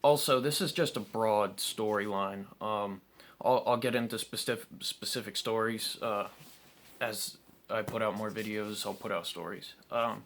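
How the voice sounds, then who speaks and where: A man's voice: on the low side, measured pace, a bit bright. A young man telling his own story to camera in an unscripted, candid way, with frequent ums and restarts. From South Carolina, United States.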